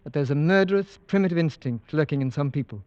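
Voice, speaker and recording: An actor playing a concerned, reasoning gentleman in a 1940s radio drama, articulate and emphatic. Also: a little high, animated, fast talker, smooth, dry recording, natural voice. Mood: thoughtful. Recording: dull, dry old radio recording.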